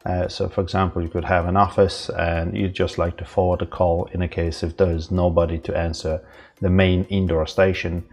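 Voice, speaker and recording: A man presenting an informal, unscripted software tutorial, explaining step by step in a halting way. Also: sits low, little pitch movement.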